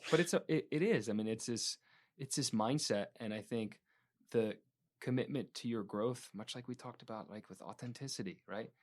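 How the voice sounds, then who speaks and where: A podcast host speaks in an earnest, reflective way at a middle pitch. He talks casually, with some "um" and "like". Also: normal speed.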